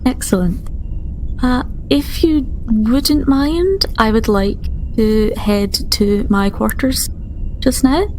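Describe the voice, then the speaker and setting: A woman playing a formal, newly promoted starship doctor in a tabletop game, polite and measured. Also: on the high side, a little hiss or hum, low-quality recording.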